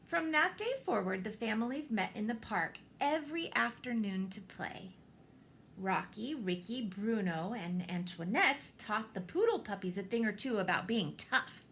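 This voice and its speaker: A woman reading a children's picture book aloud, expressively, with theatrical pauses and deliberate emphasis. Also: mid-range voice, animated, fairly bright tone, slight background noise. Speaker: storybook reader, teacher.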